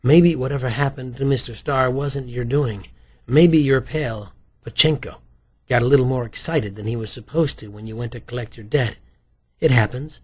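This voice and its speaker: A man voicing a tough, curt woman police detective, brisk and probing, in an audiobook. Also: mid-range voice, husky, natural voice. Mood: hard, coaxing.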